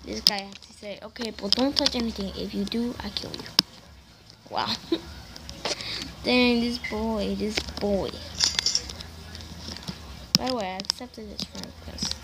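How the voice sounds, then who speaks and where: A young girl giving casual commentary while playing a game on a tablet, chatty and quick to react loudly to other players. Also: medium pitch, fairly even intonation. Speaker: gamer. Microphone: tablet microphone. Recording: loud background noise.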